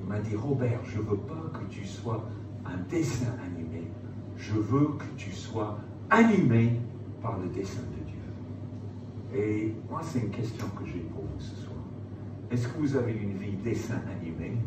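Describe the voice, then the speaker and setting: A middle-aged man preaching to a live audience, telling stories in an animated, theatrical way. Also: lowish voice, unhurried. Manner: animated, theatrical retelling with acted-out dialogue. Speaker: preacher, former pastor. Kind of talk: storytelling sermon to a live audience. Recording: very echoey room, distant mic, clear noise.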